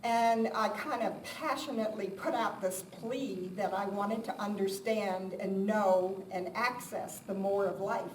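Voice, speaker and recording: A woman's voice: medium pitch, steady tone, measured pace, hardly any pauses. A woman giving an informal, conversational talk to a live audience, with a self-deprecating manner. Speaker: guest speaker. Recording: roomy, distant mic, loud background noise.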